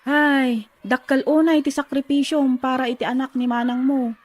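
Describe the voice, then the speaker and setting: A woman's voice: high voice, steady tone, moderate pace, voice pushed up. A woman playing an inquisitive, sympathetic friend in an Ilocano radio drama, with an Ilocano accent. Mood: curious, sympathetic.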